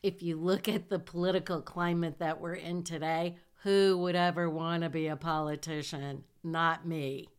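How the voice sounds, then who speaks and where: A woman's voice: lowish voice, steady tone, clean tone. A middle-aged woman from southern Michigan with a nasal voice, hosting her own podcast in a chatty, self-deprecating way. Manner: slow, chatty, self-deprecating with small laughs. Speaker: podcast host. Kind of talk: casual personal monologue.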